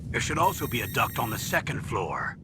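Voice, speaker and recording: A man voicing an older male colonel giving measured orders over the radio, in a noisy game cutscene. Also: medium pitch, wildly swooping pitch. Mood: instructing. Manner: measured, commanding.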